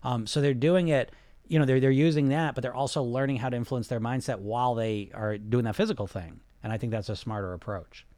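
A man's voice: mid-range voice, fairly even intonation, fairly quick, a little mellow, dry recording. A man hosting a podcast as a weight-loss coach, talking in a casual, emphatic way with lots of "you know" fillers. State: sniffling. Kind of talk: casual monologue.

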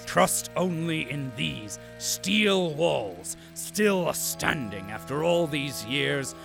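A creaking, forceful male propaganda narrator from a dystopian audio drama. He preaches slowly and grandly, every phrase weighed, with music beneath him. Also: fairly high pitch, exaggerated voice. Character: pompous male propaganda narrator of an underground corporate city.

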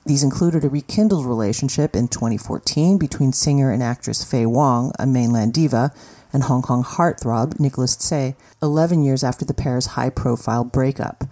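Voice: medium pitch, normal speed, mellow. A news reader narrating a scripted story in formal broadcast copy.

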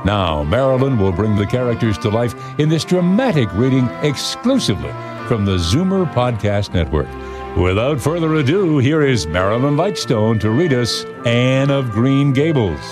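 A man's voice: mid-range voice, big pitch swings, moderate pace. A man announcing a scripted podcast introduction for a dramatic reading, with music behind him.

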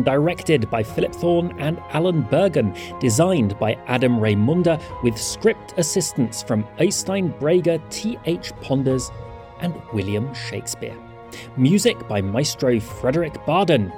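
A man with a fairly high, very lively voice presents a podcast's scripted credits and patron thanks. Music plays clearly behind him. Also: measured pace. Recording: voice over clearly audible music.

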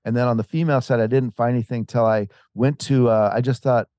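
A man's voice: medium pitch, fairly even intonation, fast talker, mellow, no echo, clean studio sound. A man, an author as interview guest, tells a long story in casual talk. He rambles with many restarts and acts out quoted voices.

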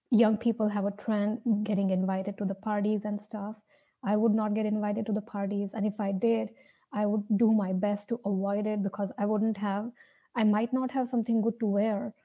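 Young indian accent woman telling her own story, really mellow dark tone, kinda hesitant and earnest. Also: medium pitch. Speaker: speaker sharing a personal story. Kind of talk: personal storytelling, unscripted talk.

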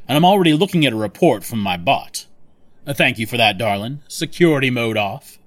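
A man's voice: medium pitch, expressive, a little fast, fairly bright tone. A man playing a sardonic, suspicious official in an audio drama, questioning in a dry, pointed way. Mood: sardonic, probing.

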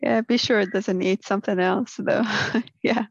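Woman, flat low voice, hesitant explaining, lo-fi dry sound. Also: moderate pace, vocal fry, no room sound. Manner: explains with many ums and uhs. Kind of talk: casual science chat. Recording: dull lo-fi sound.

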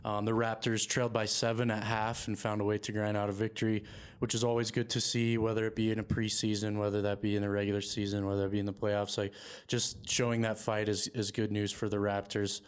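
A man's voice: on the low side, brisk, clear, resonant tone. A man hosting a casual sports podcast, talking steadily with lots of "um" and "kind of". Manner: even, steady delivery with many fillers. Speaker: podcast host.

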